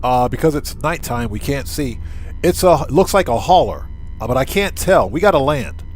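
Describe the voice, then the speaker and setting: A man's voice: mid-range voice, animated, moderate pace, a little hiss or hum, low-quality recording. A man hosting a casual gameplay livestream, commenting in exclamations and emphatically repeated short phrases. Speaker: livestream host.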